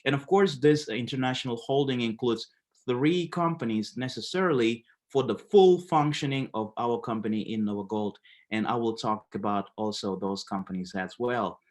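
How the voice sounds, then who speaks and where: Halting man presenting a webinar. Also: medium pitch. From Haiti. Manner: many fillers, halting restarts. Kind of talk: live business webinar presentation.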